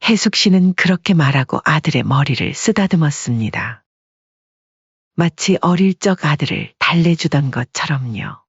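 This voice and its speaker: A woman's voice: deep voice, animated, normal speed, slightly rough, no room sound. A woman narrating a scripted dramatic audiobook story. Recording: dull lo-fi audio.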